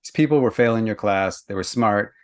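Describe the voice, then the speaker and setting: A man's voice: on the low side, fairly quick. A male podcast host keeps a conversational interview going, summing up what his guest says and prompting him. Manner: engaged, paraphrases and prompts.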